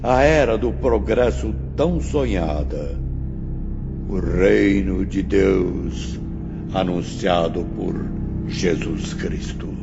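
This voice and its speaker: A man's voice: sits low, slow pace, creaky, heavily acted. A man playing a wise, stern spirit teacher in an audiobook drama, lecturing disciples in a grandiose, emphatic and solemn way. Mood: grave, exhortative. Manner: oratorical, emphatic, solemn, didactic. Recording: muffled recording, long reverb, music behind.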